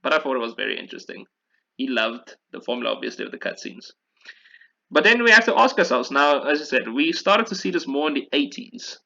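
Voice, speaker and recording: Man, bright forceful voice, casual podcast host, rambling explanations, slightly dull audio. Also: on the high side, very animated, a little fast, creaky. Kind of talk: casual video game history discussion.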